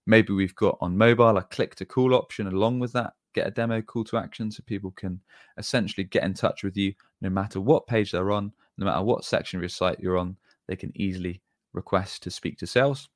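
A man's voice: lowish voice, steady tone, fairly quick, dry recording, studio quality. A man giving an explanatory tutorial as an agency presenter, talking informally and going through things step by step. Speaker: web design agency presenter.

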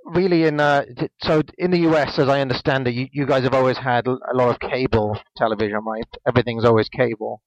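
A man's voice: mid-range voice, fairly even intonation, fast, hardly any pauses, dry recording. Welsh man in his twenties, hesitant. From Wales. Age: 20s. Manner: hesitant, many ums and restarts. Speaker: podcast co-host. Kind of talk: casual podcast chat. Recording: dull lo-fi dry sound.

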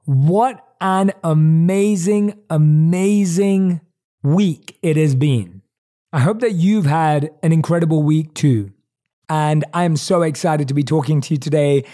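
Podcast guy talking solo, mellow warm voice, excited, repeats words for emphasis. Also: on the high side, measured pace. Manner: enthusiastic, emphatic repetitions. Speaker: podcast host.

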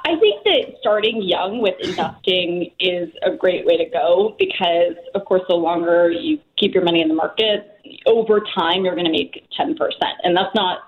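A woman speaking as a personal finance expert guest on a talk show, quick and chatty, full of "like" and "you know" fillers. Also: lowish voice, phone-quality sound. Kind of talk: casual talk show chat.

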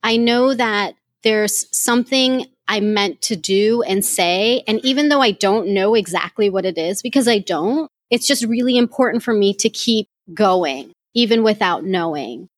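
A woman's voice: mid-range voice, unhurried, few pauses, no echo. Candid woman life coach, close dry sound. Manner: candid, reflective, animated. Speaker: life coach and podcast host. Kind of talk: live audio chat answering questions.

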